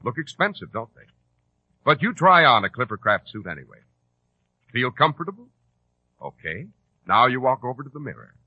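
An American man announces in polished 1940s radio style on a slightly muffled old broadcast recording. His delivery is emphatic and salesmanlike, with big swings in pitch and a husky edge. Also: big pitch swings, normal speed, pauses often, no room sound.

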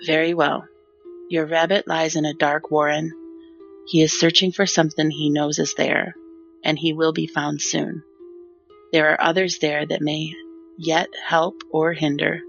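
Audio drama queen and seer female actor: grave, cryptic, formal, with heavy fry, on a dull recording. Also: low voice. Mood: cryptic, foreboding. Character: solemn, mysterious fortune-telling queen.

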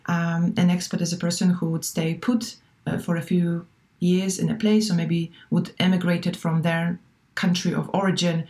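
A woman's voice: lowish voice, a little mellow. A woman guest speaking English as a second language, chatting thoughtfully with many fillers and restarts. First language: not English. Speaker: guest, relocation coach. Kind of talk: casual interview chat.

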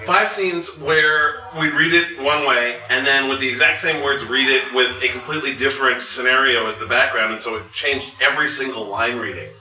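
A man's voice: mid-range voice, normal speed, hardly any pauses, pushed and bright. A man chatting casually and jokingly as a panelist at a live convention session. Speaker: panelist, voice actor. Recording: roomy, distant mic, audience murmur.

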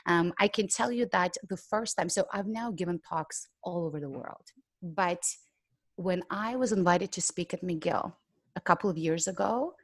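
A woman from Ukraine, a public speaker and podcast guest, talking candidly in a reflective interview, laughing often and filling pauses with "um" and "you know". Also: on the low side, dry recording.

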